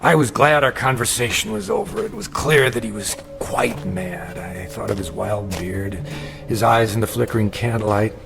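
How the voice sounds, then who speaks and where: An actor playing a polite, educated young gentleman in a radio drama, hesitant and questioning in his delivery. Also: on the low side, animated, moderate pace, gravelly. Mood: uneasy reflection. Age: young adult. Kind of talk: classic radio drama acting. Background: music.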